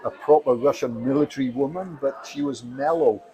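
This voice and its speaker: A man's voice: mid-range voice, fairly quick, warm tone, rough recording. A man co-hosting a casual chat, laid-back and rambling, with many fillers. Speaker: show co-host.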